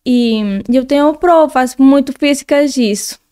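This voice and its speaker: A woman's voice: sits high, a little slow, mellow. A Colombian woman speaking with a Spanish accent as a guest, talking earnestly in casual conversation and restarting her phrases often. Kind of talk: casual interview chat.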